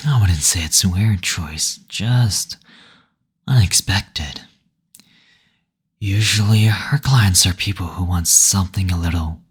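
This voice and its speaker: A man playing a teasing, gentle male demon in an intimate roleplay audio, speaking softly and breathily, often near a whisper, in a tender, unhurried manner. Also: deep voice, breathy edge, exaggerated voice. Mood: warm, honored.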